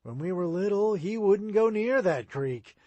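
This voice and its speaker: A man putting on a town doctor in a storybook, teasing and sly, dropping to a slow, hushed voice. There is a faint huskiness in the tone. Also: high-pitched, lively intonation, no echo, pitched above the performer's own voice.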